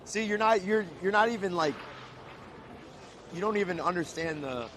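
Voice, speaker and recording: A man hosting a casual livestream, loud and hyped, talking to viewers and guests. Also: high-pitched, lively intonation, normal speed, slight background noise. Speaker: host.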